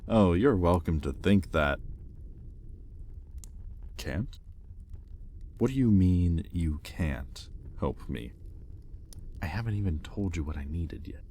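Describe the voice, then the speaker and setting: Very deep-voiced sardonic demon played by a man. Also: lively intonation, takes it slowly, pauses often, warm tone, a little hiss or hum. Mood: puzzled, intent. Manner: sardonic, teasing, conversational with swearing. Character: teasing, sardonic male demon captor, arrogant and curious. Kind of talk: intimate audio roleplay acting.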